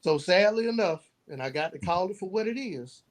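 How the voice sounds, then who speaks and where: High-pitched American man, earnest podcast guest. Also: animated, brisk. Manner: earnest, building points in lists. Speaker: podcast guest, youth mentor and nonprofit founder. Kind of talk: conversational interview answers.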